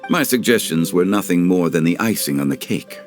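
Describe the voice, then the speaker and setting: Composed formal scholar type in a game, low voice, eloquent, soft music under. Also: male voice, lively intonation, brisk. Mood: calm. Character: composed, courteous, learned gentleman consultant. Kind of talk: dubbed video game cutscene dialogue.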